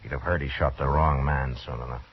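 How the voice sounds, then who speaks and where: An actor playing a steady, wary frontier lawman in a 1950s radio Western, speaking in a laconic, matter-of-fact way. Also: rumbling low, fairly quick, slightly rough. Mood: grim, measured. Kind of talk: 1950s radio drama acting and first-person narration. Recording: dull, noisy old radio recording.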